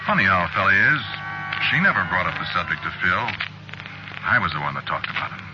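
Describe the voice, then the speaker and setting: A man's voice: low voice, big pitch swings, quick pace, lo-fi sound, very noisy. An American actor playing a guilty, brooding murderer who tells his own story in a colloquial, hesitant way in an old-time radio suspense play. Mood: wry, brooding. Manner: colloquial, hesitant, broken-up musing delivery. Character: guilty murderer narrating his crime, brooding, uneasy ordinary fella. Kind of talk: old-time radio suspense acting, first-person confessional narration. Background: loud music.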